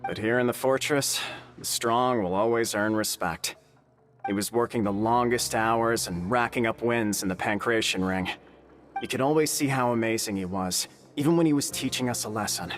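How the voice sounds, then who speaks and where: An actor playing a steady, measured prisoner who speaks for his gang. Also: mid-range voice, soft background music, close to an everyday voice.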